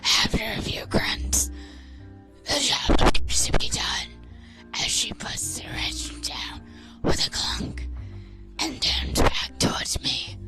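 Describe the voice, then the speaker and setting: A woman guesting on a let's play, reading game text aloud in a casual, halting way, with some hushed phrases. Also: in a whisper. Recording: music playing behind the voice. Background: music.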